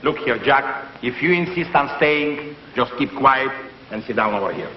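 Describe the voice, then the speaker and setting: A man playing an exasperated, bossy film director in 1950s radio comedy, brisk and impatient. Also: medium pitch, animated, natural voice. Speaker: supporting comic actor. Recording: muffled, faintly noisy 1950s radio recording, roomy.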